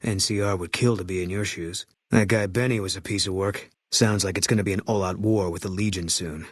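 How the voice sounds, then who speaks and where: A bitter, grieving ex-soldier sniper from a video game, who talks in short, clipped, grim lines. His voice is very low and husky, and it sits close and dry with no room sound around it. He stays guarded and curt, a middle-aged man who gives little away. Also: fairly even intonation, a little fast, dry recording.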